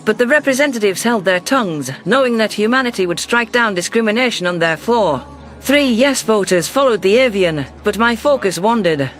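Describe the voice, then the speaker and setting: A man reading scripted first-person science fiction narration in a measured, literary delivery. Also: sits high, fairly bright tone. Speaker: audiobook narrator.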